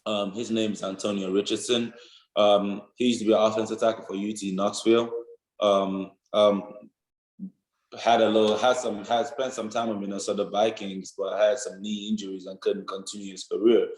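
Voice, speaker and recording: A young Nigerian man answers interview questions in an earnest, rambling way, tagging his points with "you know what I'm saying." His voice sits low, and the room around him echoes noticeably. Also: level tone, normal speed, a little mellow. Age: young adult. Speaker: football player guest.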